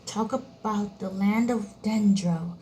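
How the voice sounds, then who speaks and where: A woman's voice: medium pitch, slow, warm tone, a little hiss or hum, rough recording. A woman gamer reading dialogue choices aloud during a playthrough. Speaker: gameplay streamer.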